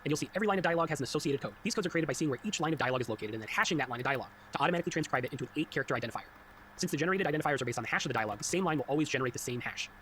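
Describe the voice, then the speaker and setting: A man with a somewhat high voice narrates a tutorial at a very rapid clip. His delivery is scripted but informal and wry, over faint background noise. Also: fairly bright tone. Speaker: tutorial host and solo game developer.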